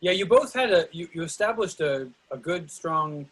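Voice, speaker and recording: A man hosting a discussion on a video call, excitable and lively, often restarting his sentences. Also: a little high, normal speed, husky, rough recording. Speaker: host of a play reading discussion. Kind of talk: casual group discussion.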